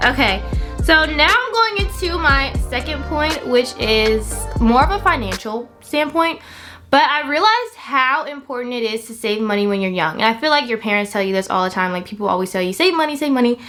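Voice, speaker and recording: A woman's voice: on the high side, lively intonation, normal speed, a bit bright, faint music behind. A woman of twenty-one talking casually to her viewers as a vlogger, in an upbeat, encouraging, chatty way with emphatic repetition. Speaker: vlogger hosting own channel. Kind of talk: casual chat to camera.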